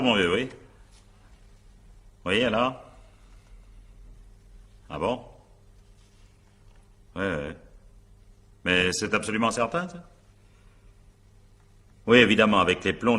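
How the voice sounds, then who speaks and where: A man's voice: on the low side, takes it slowly, long pauses, pushed and bright, husky, heavily acted. A male actor playing a shrewd, sardonic examining magistrate in a radio drama, questioning with probing irony and muttered asides. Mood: brisk, familiar. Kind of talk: French radio drama acting, naturalistic interrogation.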